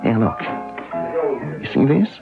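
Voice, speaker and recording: A smooth-scheming small-time hustler in a radio play, coaxing and pressing his pitch in folksy patter. His delivery leaps up and down, heard through a tinny, narrow broadcast sound. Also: lively intonation, drawn-out words, exaggerated voice. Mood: persuasive. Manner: coaxing, insistent salesman patter. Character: fast-talking folksy male con artist, persuasive and scheming. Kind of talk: radio drama acting. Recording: tinny 1970s radio broadcast, faint music.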